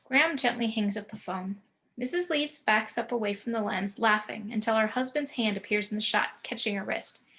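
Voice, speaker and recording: A woman reading screenplay action and scene descriptions in a measured, descriptive manner. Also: fairly high pitch, fairly bright tone. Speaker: narrator.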